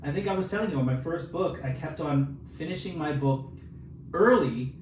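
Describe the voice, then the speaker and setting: A man's voice: fairly high pitch, moderate pace. A man chatting casually as a podcast co-host, joking and self-deprecating about his own writing. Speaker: podcast co-host and fiction writer. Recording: roomy, distant mic, clear background noise.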